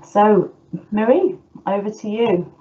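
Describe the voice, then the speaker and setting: A woman's voice: medium pitch, animated, takes it slowly, mellow. A middle-aged woman hosting an online talk as a former teacher, hesitant with many restarts, over a video call. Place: indoors at home. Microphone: laptop video call. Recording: muffled video call audio.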